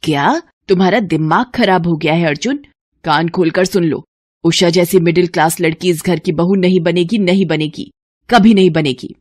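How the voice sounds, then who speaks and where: A woman's voice: on the low side, normal speed, darkish tone, no room sound, clean studio sound, natural voice. A woman playing a snobbish, domineering society mother in a scripted story, scolding and emphatic. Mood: outraged. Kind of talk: scripted drama dialogue.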